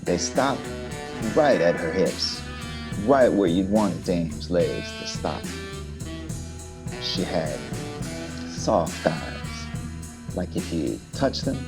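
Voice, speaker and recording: Man acting as hardboiled narrator, deep register, drawn-out delivery, deadpan, lecherous, comic noir radio play. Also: over loud music, full character voice. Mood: dreamy, lecherous. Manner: deliberate, deadpan. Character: male hardboiled detective-story narrator, deadpan, wry and lecherous.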